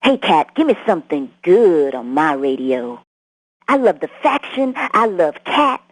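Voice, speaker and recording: A woman's voice: on the low side, very animated, a little slow, poor audio. A woman playing an eager young female radio caller who makes sing-song fan requests. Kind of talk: scripted game voice lines.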